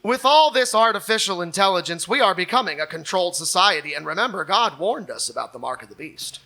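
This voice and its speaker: A man's voice: high-pitched, lively intonation, quick pace, gravelly, bright, forceful tone. A man hosting a live comedy show, joking through banter and theatrical readings of online comments. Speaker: comedy show host and performer.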